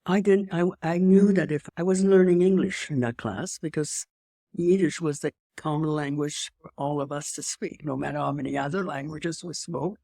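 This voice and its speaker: A woman's voice: deep voice, steady tone, moderate pace, dark tone, dry recording, studio quality. A woman in her eighties with a slight French accent, telling her life story as an interview guest in a rambling way, with restarts and emphatic asides. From Europe. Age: 80s. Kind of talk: personal storytelling in conversation.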